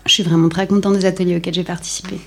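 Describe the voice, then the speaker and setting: A woman's voice: lowish voice, level tone, rapid-fire, gravelly. A woman taking part in a casual round-table talk and putting questions to the others. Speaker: discussion participant asking questions.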